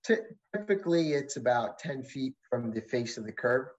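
A man on a video call explains plans to a zoning board in a careful, hedging manner, often restarting his sentences. His voice sits in an ordinary middle pitch. The call audio is dull and muffled. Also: lively intonation, normal speed. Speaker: township engineer advising a zoning board. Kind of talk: explaining at a public meeting.

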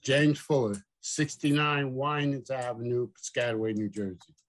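An older man testifying to a zoning board on a video call, plain and halting. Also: mid-range voice. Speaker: applicant before a zoning board. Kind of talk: testimony at a public meeting.

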